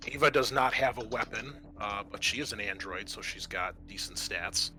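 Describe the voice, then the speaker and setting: Bright-voiced male game master. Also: lowish voice, moderate pace, a little hiss or hum. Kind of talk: narrating a tabletop game session.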